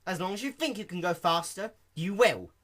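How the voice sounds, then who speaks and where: Man putting on a loud, high, cocky pony girl. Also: animated, a little fast. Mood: upbeat, confident. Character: brash, boastful young female cartoon pegasus pony.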